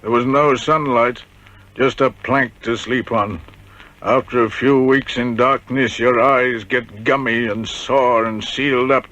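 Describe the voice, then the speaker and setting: An actor playing a haunted old ex-convict with a cracked voice, telling grim prison memories with bitter irony in a radio drama. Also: male voice, medium pitch, a bit bright, close to an everyday voice. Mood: grim, weary. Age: older. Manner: grim, bitterly ironic storytelling. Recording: dull, lo-fi vintage radio recording.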